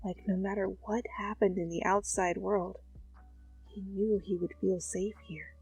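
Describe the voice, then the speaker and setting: A woman's voice: mid-range voice, flat delivery, unhurried, slightly rough. A young woman reading fanfiction as ASMR, casual, with laughing, swearing asides. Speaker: fanfic reader. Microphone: close studio mic. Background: soft music.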